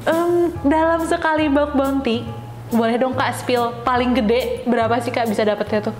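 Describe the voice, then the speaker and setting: High-voiced woman hosting, roomy sound. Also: steady tone, normal speed. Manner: chatty, giggly, informal. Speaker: host interviewing a guest. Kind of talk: casual interview chat. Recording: roomy echo with faint music underneath.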